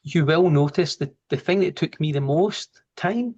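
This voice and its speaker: A man with a broad Glasgow accent, a trainer giving a relaxed, informal live online lesson over a video call and talking through each step as he works. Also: fairly high pitch, unhurried, lo-fi sound. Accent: Glasgow Scottish. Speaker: software trainer. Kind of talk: live online training, explaining and answering questions.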